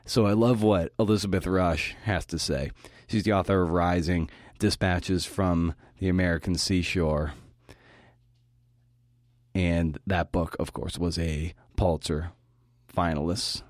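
Male podcast host, low pitch, dry close sound, informal talk delivery with casual swearing. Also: fairly even intonation, a little slow, darkish tone, no room sound. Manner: informal, mildly profane. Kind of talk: prepared talk to fellow writers.

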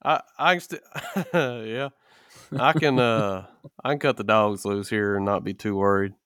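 A man's voice: fairly low pitch, a little slow, fairly bright tone, no room sound, clean studio sound. A man in a casual podcast chat, telling stories with folksy, rural phrasing. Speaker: podcast co-host.

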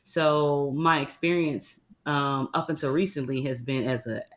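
Laid-back woman podcast host, slightly raspy. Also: deep voice, measured pace. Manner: relaxed storytelling with slang and fillers. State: a little raspy from early morning. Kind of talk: casual chat.

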